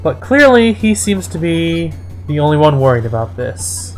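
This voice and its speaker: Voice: mid-range voice, sing-song, normal speed, soft background music. A person reading fan fiction and its reviews aloud in a mocking dramatic style, breaking off into exasperated asides.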